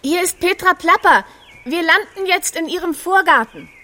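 An actress playing a plucky, chatty fairy-tale helper in a children's radio play, speaking in a cheerful, wry and direct way. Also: female voice, very high-pitched, lively intonation, moderate pace, bright, forceful tone, full character voice. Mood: polite, hurried.

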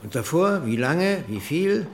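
An actor playing a matter-of-fact hospital doctor who speaks to a patient in short, clipped phrases in a naturalistic radio play. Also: male voice, on the high side, big pitch swings, measured pace, a little hiss or hum.

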